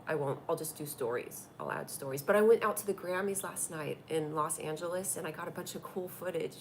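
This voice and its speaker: Stuffy, gravelly woman chatting on a live stream. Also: sits low, a little hiss or hum. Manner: chatty and rambling, reacting to comments. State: stuffy from a cold. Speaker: comedy show host doing a live stream. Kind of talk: casual live-stream chat reading viewer comments. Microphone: tablet.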